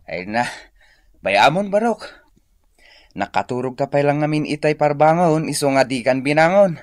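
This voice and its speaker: An actor playing a tired, kindly village uncle in an Ilocano radio drama, sighing, with an Ilocano accent. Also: male voice, on the high side, lively intonation, normal speed, dry recording, studio quality, lightly acted. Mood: straining, calling. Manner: sighing, weary. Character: weary, kindly, self-pitying village uncle.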